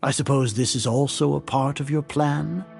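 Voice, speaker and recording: Haughty older scholar villain for a video game male actor: gravelly, mid-pitched, formal, deliberate and calculating. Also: fairly even intonation, darkish tone, faint music behind, lightly acted. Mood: smug, calculating. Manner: pompous, deliberate, condescending, formal.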